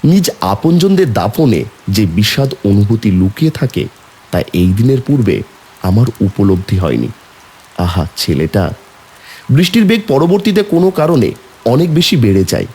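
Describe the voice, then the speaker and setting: A man's voice: fairly low pitch, big pitch swings, moderate pace, a little mellow, husky, exaggerated voice. A man narrating a horror story in the first person as a somber young man, brooding and suspenseful. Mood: eerie, suspenseful. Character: somber young man recounting an eerie burial.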